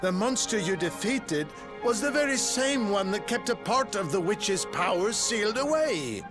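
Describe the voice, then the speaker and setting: A learned scholar of magic from a fantasy video game. His voice sits a little high and swings widely as he speaks in formal, bookish, exclamatory phrases. Loud music plays underneath. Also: very animated, moderate pace. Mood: alarmed, questioning. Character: learned, excitable male scholar of ancient magic. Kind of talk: theatrical video game voice acting.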